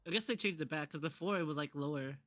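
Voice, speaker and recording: A young man chatting with friends on a stream, explaining things quickly with many restarts and hesitations. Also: a little high, fairly bright tone. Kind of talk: casual chat while gaming.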